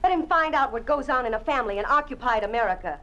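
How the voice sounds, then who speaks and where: A defiant, sharp-tongued woman in a vintage radio play. Her voice sits a little high, and her retorts are quick and sarcastic. The sound has the slightly dull quality of an old broadcast. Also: lively intonation. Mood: bitter. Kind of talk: 1950s radio drama acting.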